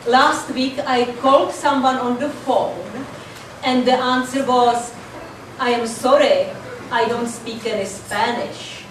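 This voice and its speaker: A woman's voice: fairly high pitch, fairly even intonation, slow pace, recorded from a distance, noisy background. An older woman from the Czech Republic with a Czech accent, telling a dry stand-up routine about language mix-ups. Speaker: stand-up comedian. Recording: echoey room, mic from afar.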